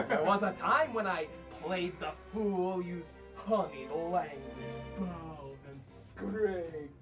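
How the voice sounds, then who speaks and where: A man's voice: high voice, exaggerated voice. A male actor playing a blustering, mocking, hot-tempered boor in a comic stage play, declaiming with theatrical sarcasm. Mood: boastful, impassioned. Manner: declamatory, sarcastic, exaggerated, swinging from bellows to murmurs. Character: blustering, boorish, misogynist middle-aged man, mocking and hot-tempered. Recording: distant mic on a theatre stage.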